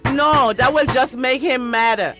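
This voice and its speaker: Woman playing distraught Caribbean mother, high voice, protective, pleading, radio drama, light music underneath. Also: unhurried, fairly bright tone. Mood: alarmed, defensive. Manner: pleading, anxious. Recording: music behind the voice.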